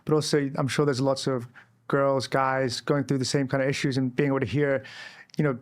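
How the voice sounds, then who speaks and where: Quick-talking male podcast host. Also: medium pitch, flat delivery. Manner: friendly, leading questions with "kind of". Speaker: podcast host, company CEO. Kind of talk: casual interview chat.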